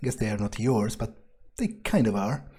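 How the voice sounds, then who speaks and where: A player narrating a video game in character, thinking aloud in a sardonic way with pauses mid-sentence. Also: medium pitch, sing-song, mellow, breathy edge. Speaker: game player role-playing his character.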